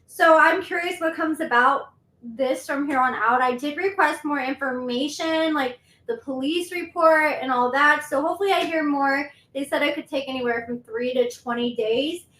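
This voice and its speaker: A woman's voice: high-pitched, normal speed, a bit bright. A woman hosting a commentary video, chatting and explaining events step by step. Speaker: commentary host. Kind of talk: casual commentary. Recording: roomy echo.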